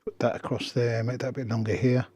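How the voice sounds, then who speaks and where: A hoarse male music producer talking through a screen tutorial step by step, thinking aloud in short half-finished phrases. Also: mid-range voice, little pitch movement, fast, a little mellow, no echo, clean studio sound. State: hoarse after a cold. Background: electronic dance music playing.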